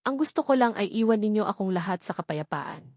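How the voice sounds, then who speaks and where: A woman's voice: medium pitch, animated, a little fast, darkish tone, no echo, studio quality, lightly acted. A woman voicing a weary, wounded but defiant man in an audiobook drama. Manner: pained, challenging. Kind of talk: audiobook character dialogue.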